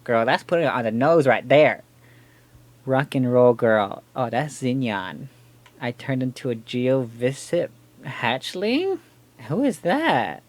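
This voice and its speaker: A woman's voice: very deep voice, lively intonation, takes it slowly, a little mellow, no room sound. A woman game streamer casually reacting as she plays, with sassy, slangy asides. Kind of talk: casual reacting and commentary while playing a game. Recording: game music behind the voice.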